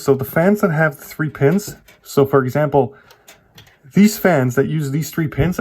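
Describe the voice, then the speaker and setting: A man with a mid-range voice gives an informal hands-on tech commentary. He speaks in a halting way, feeling for the right word and correcting himself as he explains things to his viewers. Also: mid-range voice. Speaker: tech vlogger. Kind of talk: casual hands-on PC build commentary.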